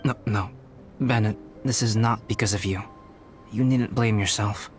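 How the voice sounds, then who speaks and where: A man playing a calm, analytical young alchemist knight in a video game, speaking formally and precisely. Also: fairly low pitch, faint music behind, natural voice. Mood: calm, reassuring. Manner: measured, formal, precise, detached.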